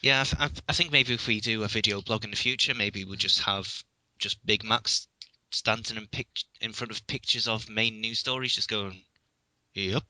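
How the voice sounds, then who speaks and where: Hesitant Liverpool man, bright and dry. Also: fairly low pitch, normal speed, dry recording, low-quality recording. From England. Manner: hesitant, restarts often, dry humor. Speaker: podcast guest. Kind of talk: casual chat.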